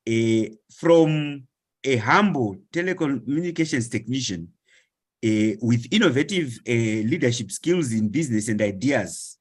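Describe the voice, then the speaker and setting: Slow, husky man. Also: mid-range voice, expressive. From Zimbabwe. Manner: slow, hesitant, long lists of words. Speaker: webinar participant. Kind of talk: online discussion question.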